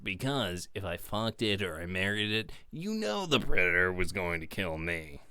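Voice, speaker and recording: A man's voice: low voice, big pitch swings, normal speed, bright, forceful tone, clear, resonant tone, no room sound, clean studio sound. A man co-hosting a casual podcast, riffing wryly and telling long comic bits. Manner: wry, riffing storyteller. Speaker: co-host.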